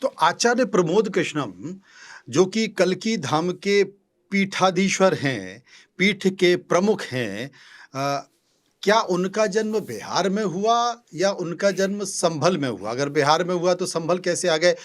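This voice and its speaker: Male podcast host, a bit high-pitched, probing questions. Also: animated, slow pace. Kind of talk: interview questions.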